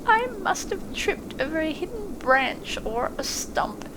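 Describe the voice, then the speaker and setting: A woman's voice: sits high, very animated, measured pace, voice pushed up. A woman voicing a small male mole, plaintive and grumbling, in a storybook reading. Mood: miserable. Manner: plaintive, whining. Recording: clearly noisy, sound effects behind.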